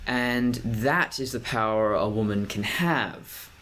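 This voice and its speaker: A young adult man with a deep voice, talking to camera in a personal vlog, pacing his words deliberately with frequent restarts. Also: a bit bright. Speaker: vlogger.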